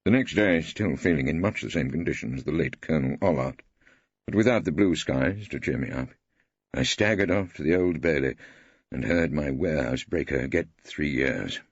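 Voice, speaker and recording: An English man narrating a first-person story as an audiobook, in a wry, expressive manner. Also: extremely low pitch, normal speed, husky, no echo, lo-fi sound.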